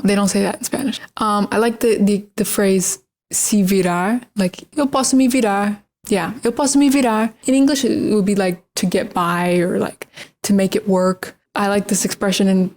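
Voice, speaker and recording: A woman's voice: mid-range voice, fairly even intonation, moderate pace, a little mellow. An American woman ranting casually to camera, rambling and frank, often repeating words and restarting her sentences. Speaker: language-learning vlogger.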